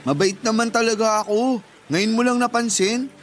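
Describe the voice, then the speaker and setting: An actor playing a cheeky, flattering student younger brother in a radio drama, teasing and wheedling. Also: male voice, very high voice, normal speed. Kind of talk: Tagalog radio drama acting.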